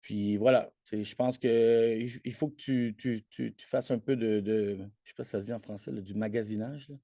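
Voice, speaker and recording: A man's voice: mid-range voice, fairly even intonation, moderate pace, vocal fry, dark tone, no echo, studio quality. A man in his forties with a Québécois French accent, Spanish his first language, chatting as an interview guest, boastful and full of restarts. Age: 40s. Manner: boastful and self-promoting, many restarts. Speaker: guest, athletic trainer. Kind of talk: casual interview chat.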